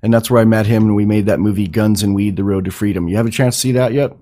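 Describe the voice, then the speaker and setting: A man's voice: on the low side, steady tone, fast, dark tone. Rambling, casual male podcast host.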